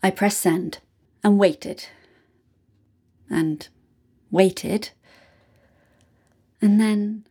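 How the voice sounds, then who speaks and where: Slow, deliberate female story reader. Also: medium pitch, fairly even intonation, mellow, husky. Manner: deliberate, with dramatic pauses. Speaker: reader of a written true story. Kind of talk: scripted first-person narration.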